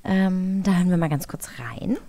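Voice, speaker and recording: A woman's voice: lowish voice, normal speed, mellow, no room sound. A woman co-hosting, presenting a game segment in a chatty show style. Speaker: co-host.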